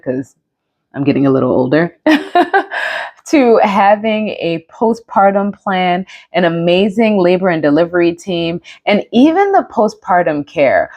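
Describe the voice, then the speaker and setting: A woman host opening her podcast with an upbeat, personal monologue, laughing at herself now and then. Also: fairly low pitch.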